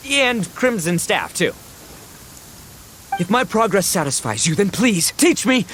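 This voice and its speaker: Faint background noise sits behind a brash young oni gang leader from a video game. He pitches high and swings wildly as he makes grand, hot-blooded boasts in a theatrical manner. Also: a bit bright. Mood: fervent. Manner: bombastic, theatrical declarations.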